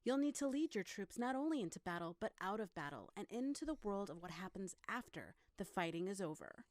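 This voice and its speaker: A woman's voice: medium pitch, very animated, a little fast, no echo. A woman reads scripted promotional game narration in a mid-range voice. Her delivery is that of a polished announcer.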